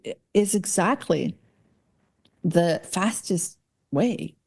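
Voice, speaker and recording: Mellow-voiced woman podcast host. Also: on the low side, lively intonation, slow, gravelly, husky. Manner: searches for words mid-thought. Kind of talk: casual conversational interview.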